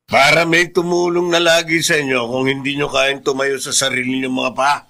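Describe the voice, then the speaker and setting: A man's voice: medium pitch, little pitch movement, moderate pace, pushed and bright. A man acting as a callous, dismissive father in a Filipino radio drama, speaking in a scornful, curt way.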